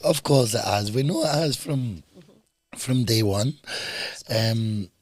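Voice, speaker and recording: A man in his thirties with a hoarse, husky voice he has lost, co-hosting a casual couple's podcast, jokey and quick to laugh. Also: mid-range voice, a little mellow, no echo. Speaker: podcast co-host.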